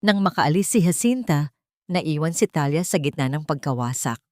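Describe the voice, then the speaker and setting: Lady doing dramatic audiobook narration, lowish voice, really dry close sound. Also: lively intonation, moderate pace, a little mellow, dry recording. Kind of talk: dramatic scripted story narration.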